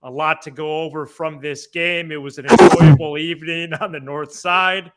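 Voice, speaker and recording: A man co-hosting a sports podcast in casual commentary, halting and repeating words as he thinks. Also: fairly high pitch, fairly even intonation, background noise.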